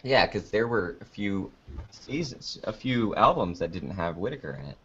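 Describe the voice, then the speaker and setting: A man's voice: fairly low pitch, expressive, moderate pace, a bit bright, breathy edge. A young American man chatting casually as an interviewed podcaster, with a joking, playfully melodramatic manner. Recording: dull lo-fi call-like audio.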